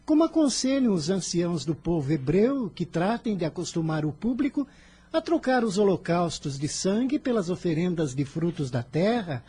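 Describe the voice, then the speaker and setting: Actor doing wise serious boy, radio drama, kinda raspy, lively pitch, formal wording. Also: normal speed. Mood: respectfully firm. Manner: formal, articulate, solemn declamation. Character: earnest, outspoken twelve-to-fifteen-year-old boy, solemn and wise. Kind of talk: dramatized religious radio serial acting.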